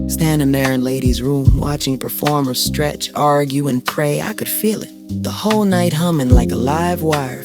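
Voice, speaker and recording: A middle-aged woman narrating a scripted first-person story in a deliberate, poetic, confiding way with emphatic pauses. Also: very deep voice. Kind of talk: dramatic scripted audio storytelling.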